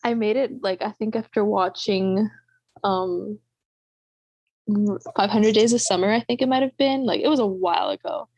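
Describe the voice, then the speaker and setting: A woman's voice: medium pitch, normal speed, vocal fry, darkish tone, no echo. A woman chatting casually as a book podcast co-host, bubbly and gushing with a giggly way of talking.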